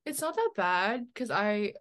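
A woman's voice: medium pitch, expressive, a little slow, fairly bright tone. A woman in her twenties, a podcast guest, talking casually and easily with many "like"s. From Philippines, raised in New Jersey. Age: 20s. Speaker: guest, model and college student. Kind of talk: casual interview chat.

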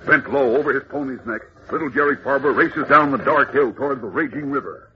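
A man's voice: medium pitch, a little fast. A man narrating an old radio adventure serial in a dramatic, urgent, theatrical way, on a muffled, noisy recording. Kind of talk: 1940s radio scripted narration.

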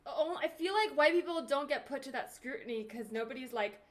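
A woman's voice: high-pitched, lively intonation, fairly quick. A woman hosting a podcast, chatting in a rambling way full of fillers, laughing easily. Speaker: podcast host. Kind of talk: casual interview chat.

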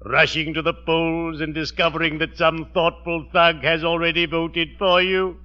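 An actor playing a genial undertaker with a solemn delivery, formal and morbidly courteous, in 1940s radio comedy style. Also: male voice, on the high side, level tone, normal speed, clear, resonant tone, natural voice. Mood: wry, theatrical. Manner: formal, flowery, lugubriously courteous. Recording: dull old radio recording.

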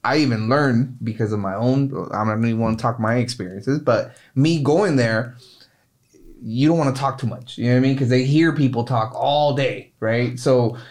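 A man's voice: mid-range voice, a little mellow. A man co-hosting a podcast, talking in an easygoing, reflective way. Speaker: podcast co-host. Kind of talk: casual podcast conversation.

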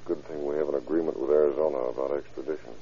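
A man's voice: extremely low pitch, fairly even intonation, rapid-fire, warm tone, a little hiss or hum. An actor playing a tough male police investigator, questioning in a clipped, authoritative way in an old radio crime drama. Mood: businesslike. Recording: muffled vintage radio show recording.

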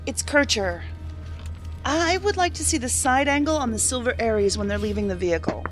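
A woman's voice: on the high side, expressive, a little fast, faint music behind, natural voice. An actress playing an observant female agent in an audio drama, polite and slightly hesitant yet firmly insistent. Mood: polite insistence.

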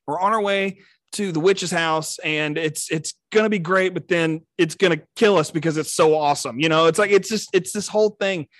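A man with a Texan accent, co-hosting a casual podcast chat, excitable and rambling, often laughing. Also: fairly high pitch, moderate pace, no room sound, studio quality. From Texas. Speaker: podcast co-host.

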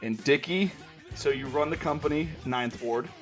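A man hosting an interview podcast, asking brief questions in a relaxed, casual way. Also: mid-range voice, moderate pace, soft background music. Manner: laid-back, brief questions. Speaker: podcast host.

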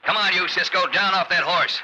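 An actor playing a rough, callous Old West outlaw with blunt, folksy cowboy speech in an old-time radio western. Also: male voice, high-pitched, steady tone, a little fast, natural voice. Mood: brisk, ruthless. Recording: very noisy, crackly old radio recording.

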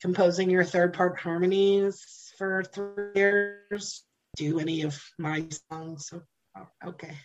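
Lowish-voiced woman telling candid stories. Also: slow, lo-fi sound, music in the background. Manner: candid, animated storyteller who swears casually and laughs often. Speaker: interview guest, blues jam host. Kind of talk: casual storytelling interview.

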